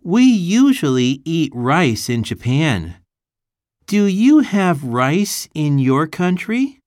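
A friendly young schoolboy from Japan, read by a woman in a low voice, speaking in a lively up-and-down tone. Every word is spelled out at a very slow, deliberate pace for a listening test. The sound is dry and close. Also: dry recording, full character voice. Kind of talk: slow, carefully enunciated test dialogue.

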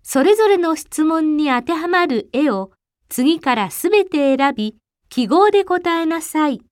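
A woman narrating a listening test, reading the exam instructions and questions in an even, formal way. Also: sits high, fairly quick, no room sound, clean studio sound.

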